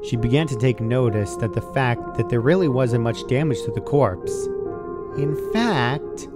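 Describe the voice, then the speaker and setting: Male narrator for a horror fan story, medium pitch, scripted read with clear music underneath. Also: normal speed.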